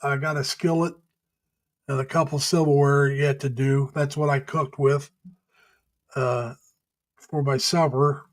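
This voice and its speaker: A middle-aged man hosting a casual live stream, chatting with viewers in a folksy, rambling way, laughing often and pausing on many uhs. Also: mid-range voice, level tone. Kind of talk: casual chat reading viewer comments. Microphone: home webcam or computer mic.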